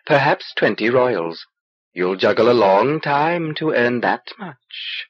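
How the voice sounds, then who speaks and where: A man reading an audiobook as a small, knowing alien wizard, dry, measured and cryptic. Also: medium pitch, animated, no room sound. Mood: matter-of-fact. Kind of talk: audiobook character dialogue. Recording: dull old cassette transfer.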